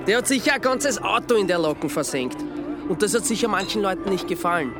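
An actor playing a flippant, sardonic amateur sleuth in a radio drama, with an Austrian accent and a deadpan manner. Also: male voice, sits high, fast, over music. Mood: deadpan, mocking. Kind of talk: naturalistic radio drama dialogue.

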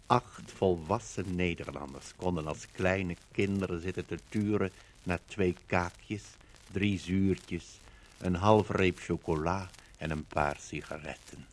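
An actor playing an earnest chronicler of the wartime Netherlands, declaiming in a measured 1950s Dutch radio docudrama style. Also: male voice, deep voice, steady tone. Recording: noisy 1950 radio recording.

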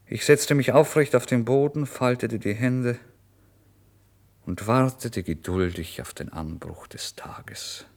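A man acting a starving, feverish, proud narrator near madness in a dramatic radio play monologue, intense and theatrical, swinging between hush and outcry. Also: medium pitch, slow pace. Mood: ecstatic relief.

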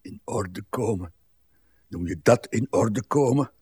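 An actor playing a grumpy, pedantic old husband, bitter and weary, in a radio play. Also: male voice, fairly low pitch, slow pace, a little mellow, husky, no echo, clean studio sound, full character voice. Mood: sour. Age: older. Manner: irritable, complaining, pedantic.

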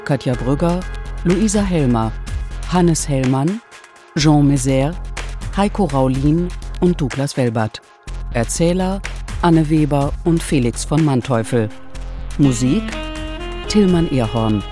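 A woman announces radio drama credits at a very slow, deliberate pace. Music plays clearly behind her scripted reading. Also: sits low, animated, warm tone.

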